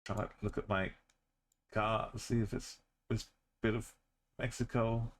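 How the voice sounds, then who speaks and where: A deep-voiced man talks slowly through his game. He keeps to short, clipped phrases, mostly talking to himself. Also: flat delivery. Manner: muttering, terse thinking aloud. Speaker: streamer. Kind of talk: commentary while playing a game.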